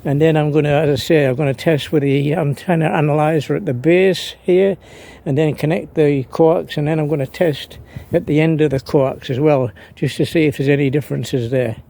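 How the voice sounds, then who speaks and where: A man's voice: fairly high pitch, steady tone, normal speed, creaky, few pauses, mellow. A man narrating an unscripted hands-on demonstration as an amateur radio hobbyist, hesitant and thinking aloud as he works. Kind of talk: unscripted how-to vlog narration.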